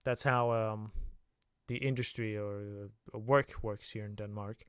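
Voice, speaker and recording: A man's voice: fairly low pitch, steady tone, unhurried, clear, resonant tone, no echo, clean studio sound. A young man hosting a casual livestream, talking in a loose, hesitant way full of filler sounds. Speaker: livestream host.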